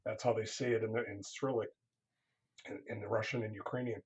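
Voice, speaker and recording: A man's voice: lowish voice, flat delivery, fast, a little mellow, highs cut off. A man, a counseling professor, speaking earnestly in a casual interview, often restarting his sentences.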